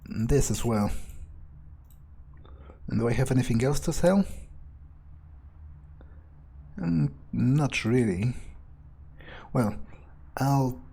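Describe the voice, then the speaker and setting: A man voicing a power-hungry, menacing vampire in a grandiose, brooding in-character monologue for a game playthrough, with trailing pauses. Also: medium pitch, lively intonation, gravelly, dark tone, breathy edge, exaggerated voice. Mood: calculating, musing. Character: power-hungry, menacing male vampire, musing coldly on revenge.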